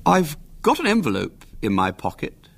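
A man's voice: fairly high pitch, huge pitch swings, moderate pace, gravelly, a bit bright, breathy edge, voice pushed up, exaggerated voice. A man voicing a scheming schoolboy in a light, offhand voice, raised above his own. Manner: casual, offhand.

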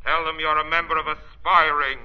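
A man's voice: a little high, little pitch movement, close to an everyday voice. An actor playing a commanding, unseen male crime fighter who taunts villains in a dramatic old radio drama style. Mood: taunting, menacing. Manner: commanding, dramatic, deliberate menace. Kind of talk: 1940s radio acting. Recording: dull, lo-fi vintage radio recording.

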